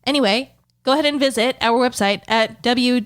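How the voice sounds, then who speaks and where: A woman, about thirty, co-hosting a comedy podcast with dry, deadpan teasing in casual chat. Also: on the high side, normal speed, clean tone. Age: 30s. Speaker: podcast co-host.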